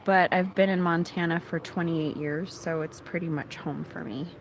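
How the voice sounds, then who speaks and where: A woman from Minnesota casually chatting with viewers on a live stream from her phone outdoors, her voice slightly raspy and congested from allergies, often dropping to a hush. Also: fairly low pitch, steady tone, moderate pace, a little hiss or hum, rough recording. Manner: soft-spoken, often hushed, laughing to herself. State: congested from allergies after a chest cold. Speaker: livestream host. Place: outdoors during a thunderstorm. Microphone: phone held outdoors.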